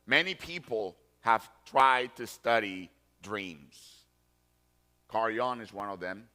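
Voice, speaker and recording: A man's voice: lowish voice, lively intonation, sharp tone. A man in his forties from Colombia, preaching a sermon and hammering home his points by repeating key phrases. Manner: deliberate, emphatic repetition of key phrases. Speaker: pastor.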